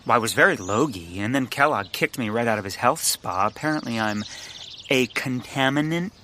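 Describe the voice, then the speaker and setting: A grandiose but bumbling enthusiast in a period audio comedy. His middle-pitched voice is earnest and pompous, tripping into flustered stammers. Faint noise sits behind him. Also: lively intonation, normal speed, bright, forceful tone. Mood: sheepish, earnest.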